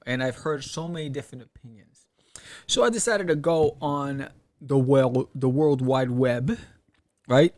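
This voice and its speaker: A man hosting a podcast in a casual solo talk, rambling in an animated way and often throwing out rhetorical questions. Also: on the high side. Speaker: podcast host. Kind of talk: casual solo talk to camera or mic about crowdfunding.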